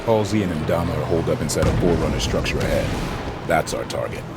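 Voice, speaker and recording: An actor playing a stern, composed squad leader of futuristic soldiers in a video game, with clipped, commanding delivery. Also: male voice, rumbling low, fast. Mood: grave, controlled. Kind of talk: video game cutscene acting. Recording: loud music and battle noise behind.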